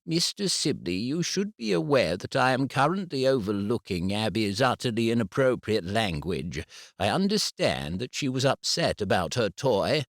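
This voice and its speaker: A man voicing a woman head teacher who speaks in a measured, formal way. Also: medium pitch, very animated, dry recording, studio quality, voice pushed up. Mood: firm. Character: prim woman head teacher, calm and firm.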